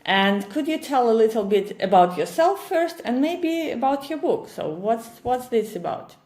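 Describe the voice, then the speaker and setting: A woman's voice: a little high, very animated, normal speed, darkish tone, roomy sound. A woman hosting an interview, welcoming her guest and asking encouraging questions. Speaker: interview host.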